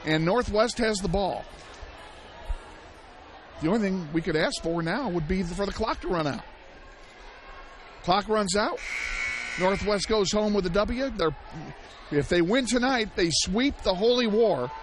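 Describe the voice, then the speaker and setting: A man calling a high school basketball game play-by-play, hoarse and losing his voice, shouting the big plays and chatting between them. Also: a little slow, pauses often. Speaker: play-by-play announcer. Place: high school gym broadcast. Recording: loud gym crowd and noise behind.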